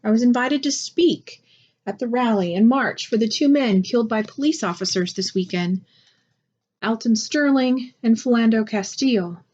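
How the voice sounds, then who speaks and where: A woman voicing a calm, grave, caring father in a picture book read aloud. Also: a little high, moderate pace, lo-fi sound, natural voice. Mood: apologetic, reassuring.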